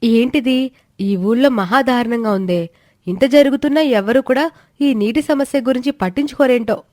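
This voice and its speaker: A woman's voice: a little high, moderate pace, a little mellow, no echo, clean studio sound, voice pushed up, close to an everyday voice. A woman voicing a clever, concerned young daughter-in-law, respectful and earnestly questioning, in a moral story.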